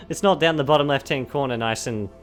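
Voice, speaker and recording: A young man co-hosting a casual gaming podcast, giggling and rambling with lots of fillers while his voice is going hoarse. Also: medium pitch, normal speed, fairly bright tone, soft background music. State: losing his voice. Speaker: podcast co-host. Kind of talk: casual chat.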